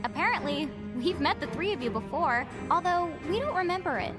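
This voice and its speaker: A young actress playing a sharp, level-headed heroine with a dry, sarcastic way of speaking. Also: female voice, very high voice, expressive, a little fast. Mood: wry. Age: young adult. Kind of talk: video game voice acting. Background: game music.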